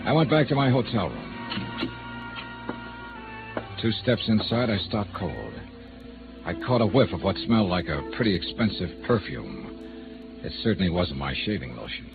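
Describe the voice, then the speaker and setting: A man's voice: deep voice, very animated, moderate pace, creaky, pauses often, heavily acted. An actor playing a wry, world-weary male private investigator in 1950s radio noir style, with clipped, sardonic delivery. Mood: wry, tense narration. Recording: old narrow-band radio recording with music.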